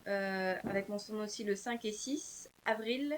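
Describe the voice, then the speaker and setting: A woman who is an author and publisher, answering interview questions casually with many fillers and pauses. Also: mid-range voice, slight background noise.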